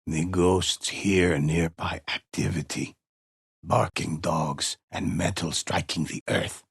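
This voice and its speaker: An actor playing a grave old male storyteller in a video game, telling ghost legends in a solemn, ominous, measured way with a gravelly, husky voice. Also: deep voice, fairly even intonation, dry recording, studio quality, heavily acted. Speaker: narrator.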